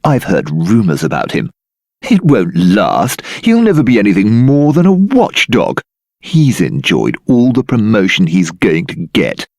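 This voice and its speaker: A man's voice: on the low side, big pitch swings, measured pace, darkish tone, dry recording, clean studio sound, full character voice. A man playing a snobbish, scornful character in a video game, speaking with a haughty sneer.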